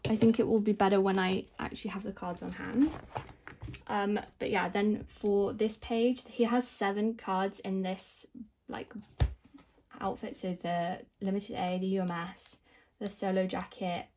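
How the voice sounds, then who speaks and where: A young woman whose voice is still croaky from screaming at a concert, talking in a loose, chatty, rambling way. She thinks out loud and makes little self-deprecating asides while she works at a table. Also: mid-range voice, level tone, a little slow, slight background noise. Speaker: k-pop collector vlogger. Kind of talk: casual rambling narration over binder organizing. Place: indoors at a table, filming the binder from above.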